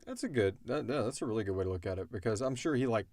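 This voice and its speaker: A man's voice: fairly low pitch, expressive, racing, a little mellow, no echo. A man talking in a casual, rambling, conversational way with lots of "like", as a show's creator answering listener questions. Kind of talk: casual Q&A discussion.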